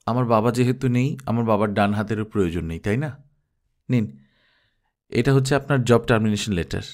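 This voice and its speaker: A man's voice: mid-range voice, close to an everyday voice. A man voicing a composed young woman boss in an audiobook, speaking in a matter-of-fact, decisive way. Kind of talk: audiobook character dialogue.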